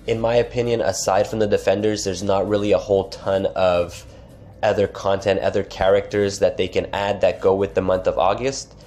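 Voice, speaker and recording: A man's voice: low voice, level tone, normal speed, darkish tone, slight background noise. A man hosting a gaming channel, talking through game news in a casual, chatty way, his voice stuffed up from a cold. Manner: chatty, lots of restarts and filler phrases. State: congested from a cold.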